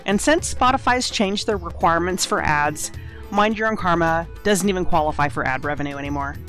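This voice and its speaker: A woman with a slightly low voice reads a prepared message in an earnest, persuasive way, laying out her points with care. Faint music plays under her voice. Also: brisk, fairly bright tone. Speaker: podcast editor and producer. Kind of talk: scripted appeal for listener support.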